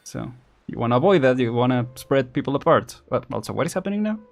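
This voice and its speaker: A man's voice: mid-range voice, expressive, fast, vocal fry. A man giving casual, rambling, chuckle-prone live commentary as he streams a video game. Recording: game music behind.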